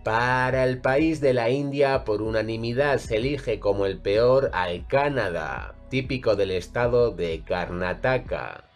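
A man reads a scripted voice-over at a very slow, deliberate pace, his voice sitting at an ordinary middle pitch. He moves through each entry in a measured, even way as faint music plays underneath. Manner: measured, evenly read list delivery. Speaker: narrator of ranking videos.

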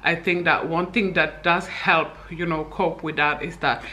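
Bright-voiced chatty young woman from Senegal. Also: deep voice, a little slow. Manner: chatty, frequent fillers and laughs, animated hand gestures. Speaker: vlogger. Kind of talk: candid personal vlog. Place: bright indoor living room.

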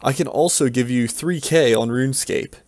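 A man's voice: medium pitch, normal speed, a little mellow, no echo, lightly acted. A man voicing a formal, polite young male adventurer with stiff, old-fashioned courtesy. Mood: courteous.

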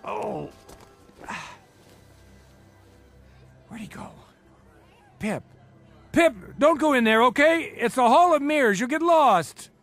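A man's voice: very high-pitched, lively intonation, unhurried, creaky, fairly bright tone, faint music behind, heavily acted. An American man playing a guilt-ridden, weak small-time con man father in a radio drama, emotional, hesitant and pleading. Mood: anxious, pleading.

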